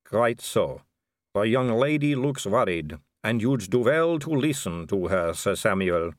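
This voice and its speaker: A man voicing an aristocratic lady vampire in an audiobook, smooth, measured, knowing and condescending. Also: fairly low pitch, big pitch swings, no room sound, clean studio sound, voice pushed up. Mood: probing, superior. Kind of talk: audiobook character dialogue.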